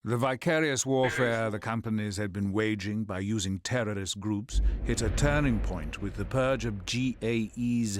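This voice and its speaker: A man playing a somber narrator in a video game, giving measured, solemn scripted story narration. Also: fairly low pitch. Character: somber male narrator recounting a war.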